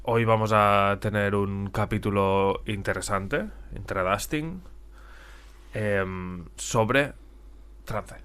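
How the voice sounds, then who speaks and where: A man's voice: on the low side, slow pace, fairly bright tone, clear, resonant tone. A man from Spain with a slightly stuffy, congested nose, chatting casually as a podcast co-host. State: mild head cold. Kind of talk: casual conversational podcast chat.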